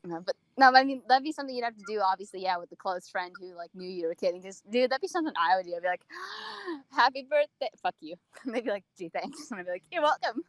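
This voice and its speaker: A woman's voice: medium pitch, sing-song, quick pace, dry recording. A young adult woman co-hosting a podcast in casual chat, teasing and joking with a sardonic streak. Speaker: podcast co-host.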